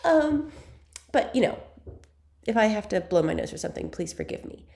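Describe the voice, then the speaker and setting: A young woman with a cold, sounding raspy and congested, chatting her way through a photo-editing tutorial with frequent ums and self-deprecating asides. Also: medium pitch, sing-song, normal speed, darkish tone. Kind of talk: screen-recorded software tutorial.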